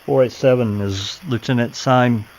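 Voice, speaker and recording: A man's voice: mid-range voice, steady tone, moderate pace, a little mellow, a little hiss or hum. A man hosting a show, casually commenting on recordings and identifying who is talking. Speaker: host commenting on archived police radio recordings.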